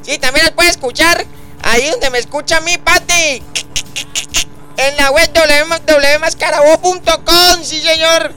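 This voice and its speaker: A man's voice: very high-pitched, fairly even intonation, a little slow, bright, forceful tone, soft background music, pitched above the performer's own voice, full character voice. A man putting on a chirpy radio sidekick voice who reads out contact numbers in a patter.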